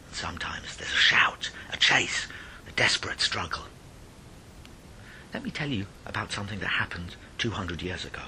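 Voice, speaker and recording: A man telling a ghost story in verse with theatrical delivery, moving between hushed and emphatic phrases with dramatic pauses. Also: low-pitched, creaky, low-quality recording.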